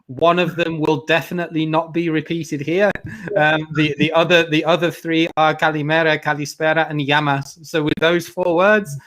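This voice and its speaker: A man hosts a virtual trade fair over a video call. His voice sits a little high, and he keeps it even and flat. He talks loosely and keeps circling back with fillers. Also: moderate pace, low-quality recording. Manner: hesitant, many restarts and "you know" fillers. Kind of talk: casual spoken chat.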